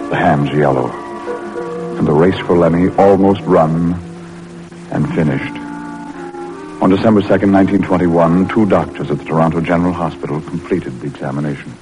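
A man's voice: low-pitched, natural voice, poor audio. A man acting as the solemn narrator of a 1940s radio drama, in clipped, poetic phrases. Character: solemn storyteller of a medical drama. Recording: old radio recording with music behind.

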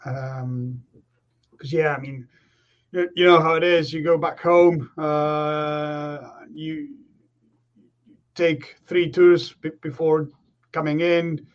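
A man, a guest on a remote interview who talks in a relaxed, reflective way with many fillers and restarts. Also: a little high, slow pace, long pauses. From Argentina. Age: 30s. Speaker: guest, professional rugby player. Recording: slightly dull lo-fi call audio.

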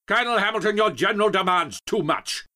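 A man's voice: high-pitched, expressive, fast talker, bright, forceful tone, voice pushed up, exaggerated voice. A man voicing a pompous, indignant middle-aged army general in audiobook dialogue, blustering as he talks. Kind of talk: dramatised historical audiobook dialogue.

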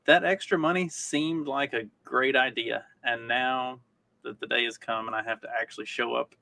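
A man's voice: medium pitch, steady tone, moderate pace, vocal fry, pushed and bright. A man co-hosting a podcast in casual, informal chat. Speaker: podcast co-host.

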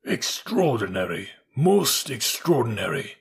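A man's voice: medium pitch, very animated, a little slow, gravelly, husky, exaggerated voice. A man voicing a nervous, easily offended male elephant in a storybook, fussy and self-pitying in manner. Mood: huffy, rueful.

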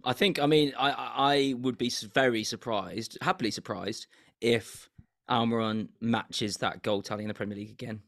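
A young Englishman with a mid-pitched voice that is strained and keeps cracking squeaky, as though he has nearly lost it. He speaks in a measured, hesitant way, repeating himself as he weighs his views in a casual football chat. The recording is dry and close. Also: steady tone, creaky, a bit bright, dry recording. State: losing his voice, goes squeaky. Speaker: podcast co-host.

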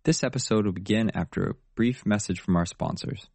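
Low, creaky male podcast announcer. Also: brisk, darkish tone, no room sound. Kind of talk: scripted podcast intro.

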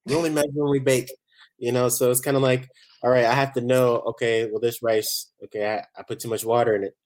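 A man from Barbados, chatting casually as a chef guest in a relaxed, rambling way. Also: medium pitch, fast talker, low-quality recording. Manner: relaxed, rambling, many "you know" fillers. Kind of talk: casual interview chat.

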